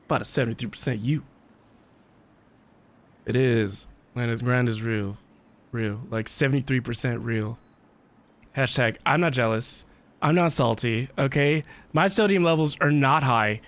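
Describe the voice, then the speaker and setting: A man's voice: medium pitch, unhurried, long pauses. A man chatting casually as a live game streamer, with a playful, theatrical and mock-dramatic manner.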